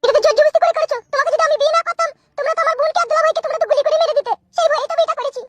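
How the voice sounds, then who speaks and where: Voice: extremely high pitch, fairly even intonation, racing, background noise. A performer voicing a tearful, resentful young woman forced to be a bride. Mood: defiant. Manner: reproachful, dramatic. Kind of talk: melodramatic comic cartoon dubbing.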